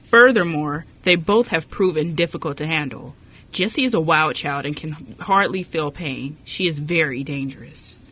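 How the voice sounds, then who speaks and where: Radio play role: formal, disapproving woman orphanage director, acted by a woman, blunt admonishments in a bright, forceful tone. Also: low voice, normal speed, rough recording. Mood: stern. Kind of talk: amateur radio play acting.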